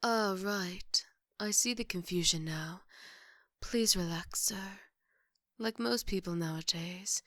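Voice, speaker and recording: A woman playing a composed, formal maid to a vampire, polite and gently teasing, in an ASMR roleplay. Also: on the low side, dry recording, clean studio sound. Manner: polite, measured, wry.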